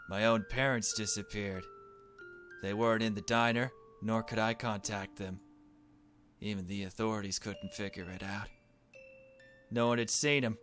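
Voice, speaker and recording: A man's voice: lowish voice, fairly bright tone. A man reading a visual novel aloud as a gaming host, with joking, irreverent asides. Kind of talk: let's play, dramatic reading mixed with joking commentary.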